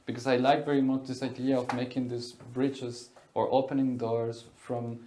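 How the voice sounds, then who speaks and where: A man's voice: medium pitch, darkish tone. Hesitant male festival host. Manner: hesitant, many fillers and restarts, switches languages. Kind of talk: casual moderated talk.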